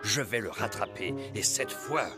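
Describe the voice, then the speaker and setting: A man's voice: fairly low pitch, measured pace, natural voice. A man voicing a furious, vengeful villainous father in a dubbed cartoon, blustering and menacing, with music behind. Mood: indignant, menacing. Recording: loud music under the voice.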